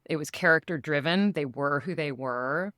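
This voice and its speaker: Actress lady doing a casual interview, lowish voice, dry close sound, measured with lil pauses. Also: fairly bright tone, no echo.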